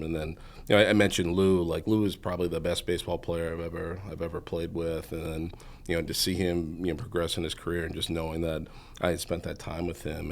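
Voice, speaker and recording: Gravelly, deep-voiced New man. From Massachusetts. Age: 40s. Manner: rambling, heavy on "you know" fillers. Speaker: guest, entrepreneur. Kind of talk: casual interview storytelling.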